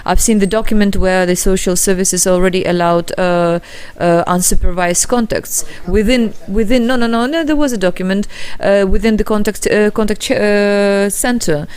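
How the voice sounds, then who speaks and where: A woman of forty-three, a guest, answering in a halting way and searching for words. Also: medium pitch, a little mellow, smooth. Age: 40s. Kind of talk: interview answers.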